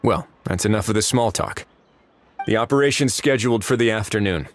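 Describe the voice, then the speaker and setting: A man's voice: fairly low pitch, fairly quick, a little hiss or hum, natural voice. An actor playing an easygoing, wry official who briefs his allies casually in a video game cutscene. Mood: relaxed. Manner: casual, lightly joking. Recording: clear music under the voice. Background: background music.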